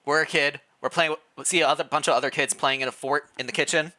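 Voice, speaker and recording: A streamer reading fan fiction aloud in a playful, expressive way, mixed with casual livestream chat. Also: mid-range voice, fast.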